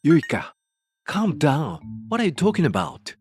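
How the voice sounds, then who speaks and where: A man's voice: on the high side, wildly swooping pitch, normal speed, darkish tone, faint music behind. A man playing a panicky, defensive, dishonest husband in a manga dub, whining and pleading. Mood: pleading, alarmed.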